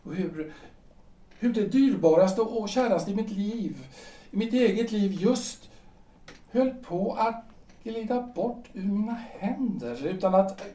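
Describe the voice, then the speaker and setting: An anguished middle-aged husband acted by a man in a theatrical period audio drama, set back from the microphone in a roomy space. His voice is high and mellow. He speaks haltingly and insistently, repeating himself as he broods. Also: lively intonation, far from the mic. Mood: brooding, anguished. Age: 40s. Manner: halting, repeats phrases, emotional.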